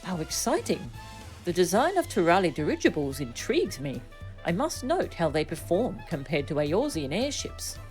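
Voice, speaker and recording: Composed, bookish scholar read by a woman, lowish. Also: big pitch swings, darkish tone, faint music behind. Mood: intrigued. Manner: measured, formal, bookish. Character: composed, scholarly explorer-adviser explaining legends. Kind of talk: video game dialogue read aloud.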